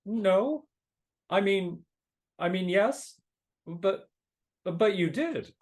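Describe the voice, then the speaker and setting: A woman's voice: medium pitch, lively intonation, very slow, darkish tone. A woman playing a timid, deferential male clerk who stammers and hesitates, in a live dramatic reading. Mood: nervous, apologetic.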